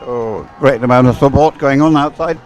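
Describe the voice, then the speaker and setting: A man's voice: mid-range voice, moderate pace. A man giving live race commentary in a conversational, hesitant way, often thinking aloud.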